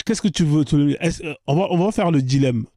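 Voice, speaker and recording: Debate host, male, higher pitch, mellow tone, insistent and repetitive, dry close sound. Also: brisk, no room sound. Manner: pressing, repeats questions insistently. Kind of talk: live online debate.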